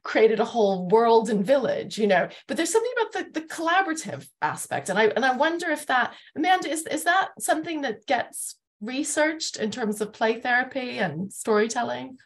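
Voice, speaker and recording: A woman's voice: a little high, big pitch swings, moderate pace, few pauses. A middle-aged woman moderating a reflective panel discussion on a video call from home. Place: living room at home, indoors.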